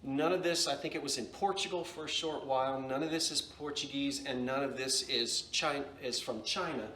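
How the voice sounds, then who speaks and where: A man's voice: mid-range voice, steady tone, brisk, a bit bright, a little hiss or hum. A man with a New Jersey accent, casually showing and describing antique dishes in a chatty, self-correcting way. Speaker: antiques seller. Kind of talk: casual show-and-tell vlog.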